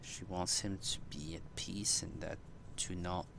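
A man giving casual live commentary on a game playthrough, musing aloud haltingly. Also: low-pitched, fairly even intonation, creaky, background noise. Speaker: game streamer. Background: game music.

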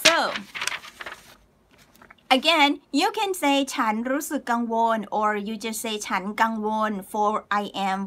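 Woman in her 30s, high voice, upbeat live-stream teacher who laughs easily, phone mic in a small room. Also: a bit bright. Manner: cheerful, laughs often, spells out words slowly. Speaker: Thai language teacher. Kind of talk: live-streamed lesson reading viewer comments. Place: small room indoors, plain wall.

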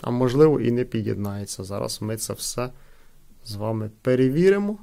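A man with a creaky voice giving casual unboxing and product testing commentary as a host. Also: medium pitch, lively intonation, fairly quick, warm tone. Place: indoors at a wooden table.

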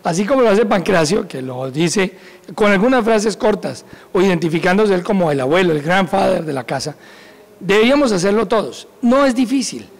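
Calm instructive man in his forties. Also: high-pitched. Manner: calm, instructive, laughs easily. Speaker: police school director. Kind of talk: educational segment talk. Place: indoor office with bookshelves. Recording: echoey room.

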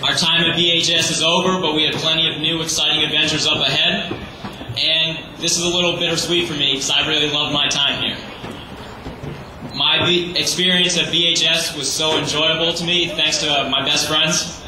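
A teenage boy giving an earnest, slightly halting graduation speech as salutatorian. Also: on the high side, steady tone. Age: teens. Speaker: graduating student. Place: large hall or gym. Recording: echoey hall, far from the mic, noisy.

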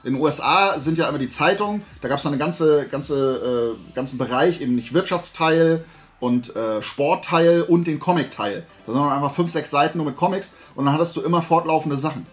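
A man's voice: fairly high pitch, lively intonation, brisk, faint music behind. A man in his forties from Germany chatting casually to camera as a vlog host, in a colloquial, self-deprecating way. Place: small indoor room lined with collectible shelves.

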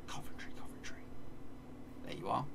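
A man's voice: moderate pace, whispered, recorded from a distance. A man in his thirties with an English accent, commentating on a map-guessing game as he plays, muttering place names and swearing playfully. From England. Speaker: gaming streamer. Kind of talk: casual live game commentary. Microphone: desk mic, seen in webcam corner.

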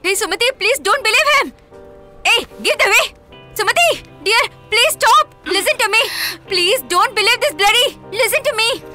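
Casting: woman as desperate mother, very high bright voice, shouting pleas. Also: animated, racing, few pauses, soft background music, exaggerated voice. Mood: desperate pleading. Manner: shouting, pleading. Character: frantic mother pleading with her daughter. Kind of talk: dubbed film acting.

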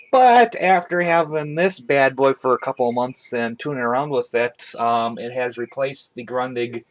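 A man with a mid-pitched voice talks through a hobby gear review in a casual way. His delivery is halting and conversational, picked up by a webcam in a room at home. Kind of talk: casual product review to camera. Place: indoors, small room at home. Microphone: webcam on a tripod, speaker off camera.

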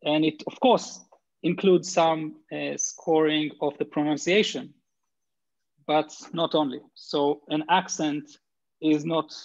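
Israeli man with a Hebrew accent, gravelly. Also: fairly high pitch, steady tone, slow, dry recording. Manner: hesitant, frequent fillers and restarts. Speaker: company founder presenting. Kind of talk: video call presentation and answers. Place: home room with bookshelves. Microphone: laptop video call. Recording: muffled video call audio.

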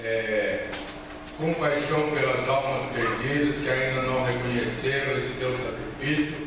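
A man's voice: medium pitch, steady tone, unhurried. A man with a Brazilian accent leads a prayer in his own words. The sound is strongly echoing, from far off in a large hall, with noticeable noise. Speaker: church member leading prayer. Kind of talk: spontaneous spoken prayer. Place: large church hall, indoors. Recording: very echoey church hall, distant, noisy.

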